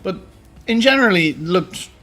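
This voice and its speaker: Higher-voiced man in casual banter. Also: lively intonation, normal speed, sharp tone. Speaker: co-host of a film and games discussion show.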